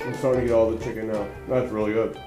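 A man's voice: on the low side, level tone, racing, over music. A man in his forties hosting a playful home cooking segment in his kitchen, chatty with joking asides, filmed by a counter camera. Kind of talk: upbeat home cooking demo. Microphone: wide camera on the counter.

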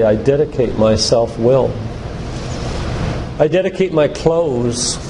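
An older man giving a sermon-like lecture in a church, speaking in an earnest, deliberate, emphatic way with repetition. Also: mid-range voice. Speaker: doctor and guest church speaker. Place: church, live talk. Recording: echoey hall with loud background noise.

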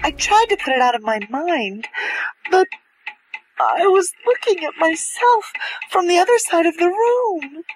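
A woman acts a shaken young girl in a horror radio play. Her voice is high and animated, yet the words come slowly and hesitantly. She sounds timid. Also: soft background music, poor audio. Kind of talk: dramatized horror audio drama.

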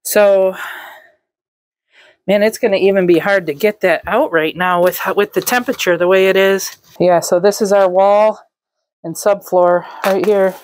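Slow, chatty woman in a camper. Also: medium pitch. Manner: relaxed, chatty, with frequent ums and light laughs. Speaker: vlog host. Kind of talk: casual walkthrough narration. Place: inside a gutted camper trailer.

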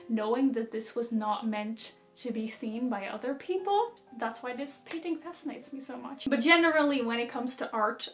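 A young woman in her twenties with a Dutch accent, chatting casually to the camera about books while she does her makeup, laughing often. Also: fairly high pitch. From Netherlands or Flanders. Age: 20s. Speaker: book vlogger. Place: small decorated bedroom, indoors.

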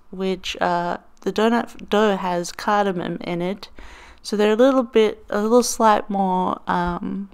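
A woman narrating a planner voiceover in a relaxed, meandering way, full of ums and little laughs. Her voice is smooth and clear, with a touch of morning crackle, at an ordinary middle pitch. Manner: chatty, rambling with frequent ums and laughs. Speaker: planner vlogger. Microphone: voiceover over overhead desk footage.

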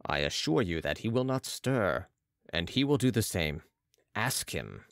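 A man voicing a serene, commanding mesmerist with calm mystical authority in an audiobook. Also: fairly low pitch, unhurried, creaky, no room sound, clean studio sound, pitched below the performer's own voice, full character voice. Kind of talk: audiobook character voice.

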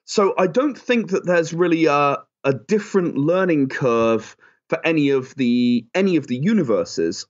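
A man's voice: mid-range voice, a little mellow. A man giving chatty, enthusiastic, emphatic answers as a guest in a conversational interview. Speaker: interview guest, game creator. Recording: dull, lo-fi audio.

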